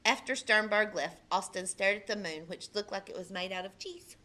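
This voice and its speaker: Bright-voiced woman reading a storybook aloud. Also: medium pitch, normal speed. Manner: expressive, puts on character voices in quotes. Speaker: librarian reading a picture book aloud.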